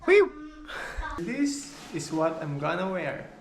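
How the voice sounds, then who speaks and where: Filipino-accented man over loud road noise. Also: on the high side, very animated, slow pace. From Philippines. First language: Tagalog. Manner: playful, laughs often. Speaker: vlogger. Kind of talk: casual vlog chat.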